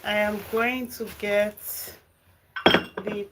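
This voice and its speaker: A woman's voice: medium pitch, flat delivery, drawn-out words, heavy background noise. A Nigerian woman hosting a gardening live stream, chatting casually with viewers and answering their comments. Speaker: gardening channel host. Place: home garden and kitchen.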